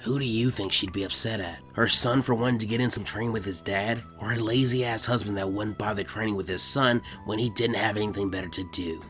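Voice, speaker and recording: Here is a man acting out a lazy teenage ninja boy from an anime story. The voice sits low and grumbles along flatly, sounding bored and easily irritated. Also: a little fast, hardly any pauses, faint music behind, close to an everyday voice. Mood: irritated, dry. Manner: low, flat, grumbling delivery.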